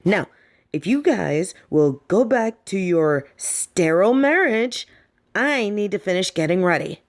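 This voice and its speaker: Slow-spoken, defiant rich daughter played by a woman. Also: mid-range voice, expressive. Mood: exasperated, mocking. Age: young adult. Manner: sardonic, sweary, emphatic delivery. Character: defiant, fed-up adult daughter of a snobby rich family. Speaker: audio roleplay performer. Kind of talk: scripted dramatic audio roleplay.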